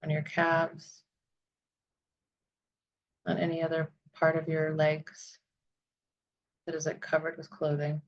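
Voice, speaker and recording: Slow, low-voiced woman leading a meditation. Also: completely flat delivery, highs cut off. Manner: soothing, deliberate phrasing with long pauses. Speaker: guided meditation leader. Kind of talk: guided visualization meditation.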